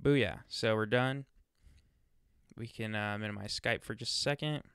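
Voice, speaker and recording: A man presenting a casual software walkthrough, chatting informally and thinking aloud as he demonstrates, speaking into a close USB microphone. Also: on the low side, a little slow, creaky, clean tone, dry recording. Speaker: tutorial presenter.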